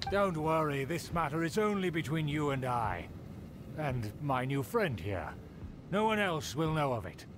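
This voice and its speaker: An actor playing a haughty, scheming aristocrat in a video game, speaking in formal, pompous, theatrical phrases. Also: male voice, fairly high pitch, sing-song, measured pace, background noise. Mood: grandiose. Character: haughty, scheming aristocrat man craving his clan's rule. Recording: game audio with music behind.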